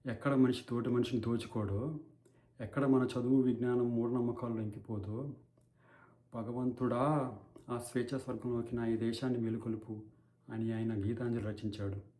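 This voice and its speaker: A man's voice: medium pitch, fairly even intonation, moderate pace, pauses often, dark tone, natural voice. A man acting an anguished, righteous crusader in an impassioned, declamatory courtroom monologue against corruption. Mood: solemn, reverent. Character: righteous, anguished male crusader against bribery addressing a judge. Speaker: actor performing a film courtroom monologue. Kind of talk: dramatic theatrical courtroom monologue.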